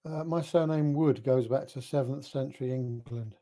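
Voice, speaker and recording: A man's voice: mid-range voice, normal speed, mellow. A man chatting casually on a stream in a friendly way, calling the others "mate". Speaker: guest on stream chat. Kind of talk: casual chat.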